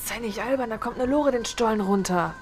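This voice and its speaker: A woman putting on a hushed, wary tunnel lurker. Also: fairly quick, close to an everyday voice. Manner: hushed, low and dismissive.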